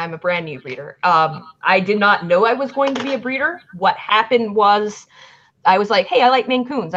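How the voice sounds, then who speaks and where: A woman's voice: medium pitch, fairly bright tone. Rambling southern woman, muffled stream audio. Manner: rambling, chatty, swears freely. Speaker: band manager. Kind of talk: casual livestream chat.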